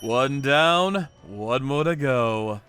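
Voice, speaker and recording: A man's voice: mid-range voice, animated, very slow, a bit bright, soft background music. A man narrating a manga story in the first person with a theatrical, cocky delivery.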